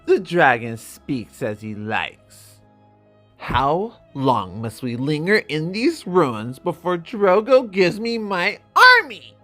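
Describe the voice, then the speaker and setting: A man voicing an arrogant, petulant young exiled prince in a drawn-out, sneering fantasy audiobook performance, with music behind. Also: on the high side, sing-song, bright, forceful tone, pitched above the performer's own voice, exaggerated voice. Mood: haughty impatience. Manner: imperious, drawn-out, sneering delivery.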